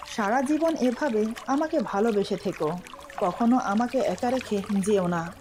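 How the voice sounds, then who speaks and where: Devoted young husband read by a female narrator, romantic audio story, affectionate, coaxing, high register, light water noise behind. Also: a little slow, a little mellow, natural voice. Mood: tender. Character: devoted, teasing young husband in love with his wife.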